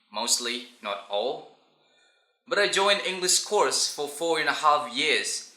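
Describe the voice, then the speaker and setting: Young Indonesian-accented man vlogging in a dim room, punchy delivery, sharp bright voice, echoey. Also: mid-range voice. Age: young adult. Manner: emphatic, playful asides. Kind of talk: casual scripted vlog. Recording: roomy echo.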